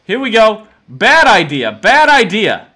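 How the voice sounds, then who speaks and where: A young man in his twenties hosts a gameplay video in a high voice that swings widely in pitch. He reacts dramatically and jokes along, talking to the game characters as he plays. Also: huge pitch swings, measured pace, bright, forceful tone. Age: 20s. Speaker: gaming video host. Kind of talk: excitable gameplay commentary.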